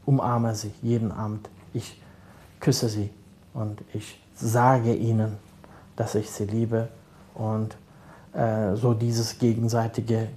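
Middle-aged guy talking slow n calm, mellow dark tone, lots of ums. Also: lowish voice, a little hiss or hum. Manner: calm, measured, searching for words with many fillers. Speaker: social worker advising fathers. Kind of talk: calm interview answers.

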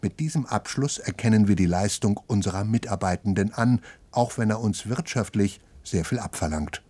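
A man narrating a scripted news report in a steady, factual delivery. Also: fairly low pitch, slight background noise.

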